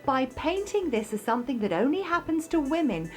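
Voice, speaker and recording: A woman's voice: sits high, very animated, a little fast, faint music behind. A woman acting an earnest, impassioned abuse support worker in a radio drama, speaking with pleading emphasis. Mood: impassioned, frustrated.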